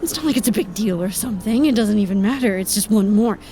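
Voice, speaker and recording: A woman's voice: mid-range voice, expressive, quick pace, warm tone, slight background noise, natural voice. An actress playing a fretful, emotional, fixated young woman staffer in an audio drama, pleading and repetitive. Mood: desperate fixation.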